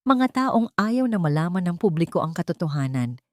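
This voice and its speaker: A woman voicing a serious man who explains things gravely in an audio drama. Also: fairly low pitch, very animated, moderate pace, darkish tone, no echo, clean studio sound. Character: serious man revealing a grave family secret.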